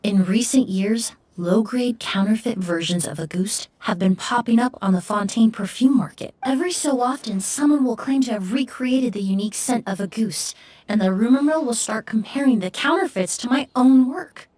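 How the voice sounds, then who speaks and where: A woman playing a courteous, serene young perfumer in an anime game, speaking in a measured, refined and polite manner. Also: on the high side, a little mellow, far from the mic, natural voice. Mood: calm, gracious. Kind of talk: anime game story dialogue.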